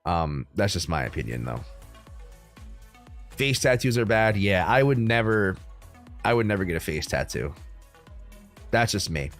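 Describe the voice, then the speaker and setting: A young American man hosts a casual livestream from his room at home, chatting with viewers in a loose, rambling way full of ums and likes. His voice sits a little low, and faint music plays behind him. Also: very animated, unhurried, long pauses. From United States. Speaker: crypto livestream host.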